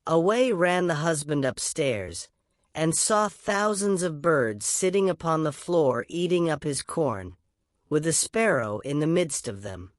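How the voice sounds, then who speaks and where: A storyteller giving an expressive scripted reading in a storybook narration style. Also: mid-range voice, measured pace, no room sound, studio quality.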